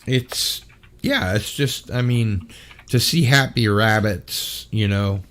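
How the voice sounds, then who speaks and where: Tired male livestream host with a low voice, slow and halting, full of fillers. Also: a bit bright, slight background noise. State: tired from little sleep. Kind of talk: casual online panel chat.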